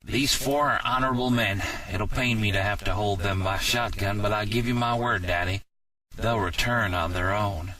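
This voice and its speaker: A man voicing a gruff, dying prison guard in his fifties, folksy, blunt and honorable, in an audiobook drama with a noisy home recording. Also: fairly low pitch, steady tone, moderate pace, pushed and bright. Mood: solemn, pained. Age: 50s. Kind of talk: dramatic audiobook character dialogue.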